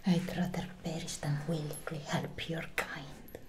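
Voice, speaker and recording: A woman playing a defiant, anguished captive vampire hunter in a soft-spoken ASMR roleplay, with a breathy whisper and halting, strained delivery. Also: sits low, level tone.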